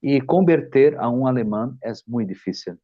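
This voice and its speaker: A man's voice: medium pitch, expressive, moderate pace, no room sound, highs cut off. A man working as an interpreter, relaying a speaker's words phrase by phrase. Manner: relays each phrase plainly. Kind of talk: consecutive interpreting.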